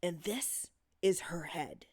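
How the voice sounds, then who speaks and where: A woman's voice: lowish voice, slow pace, a little mellow, husky, full character voice. A woman voicing a sinister husband in a horror story, intoning a macabre game theatrically and with ritual care. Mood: ominous, theatrical. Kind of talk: dramatic horror story reading.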